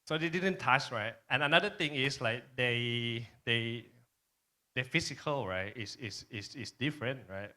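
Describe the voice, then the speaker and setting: A man from Thailand with a Thai accent, a native Thai speaker, presenting informally at a conference in halting phrases with frequent checking tags. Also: medium pitch, bright, forceful tone. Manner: halting, frequent tag questions "right?". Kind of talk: informal presentation and panel answer.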